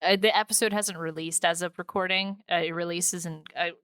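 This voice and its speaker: Clear, bright woman hosting a casual podcast. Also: on the low side, fairly even intonation, brisk, dry recording, studio quality. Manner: slangy, informal. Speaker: podcast host.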